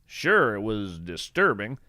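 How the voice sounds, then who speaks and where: An actor playing a folksy, cheerful nature explorer who narrates a scripted audio drama with earnest, theatrical wonder. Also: male voice, on the low side, very animated, slow pace, bright, forceful tone, no echo, clean studio sound, full character voice. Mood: musing storytelling. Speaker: fictional podcast host and narrator. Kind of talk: scripted storytelling monologue.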